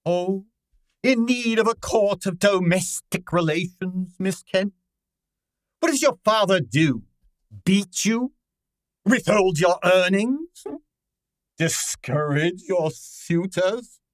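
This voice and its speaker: An actor playing a pompous, cantankerous gourmet detective in a radio drama, with imperious, formal diction. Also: male voice, high voice, lively intonation, takes it slowly, pauses often, no room sound. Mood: haughty, brusque. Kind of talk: old-time radio drama acting.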